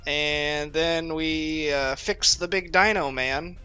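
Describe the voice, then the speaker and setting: Man as a bright-voiced, breezy pirate captain. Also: fairly high pitch, steady tone, takes it slowly, smooth, soft background music. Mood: breezy, nonchalant. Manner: offhand, rambling, nonchalant. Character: breezy, casual pirate captain who shrugs off danger.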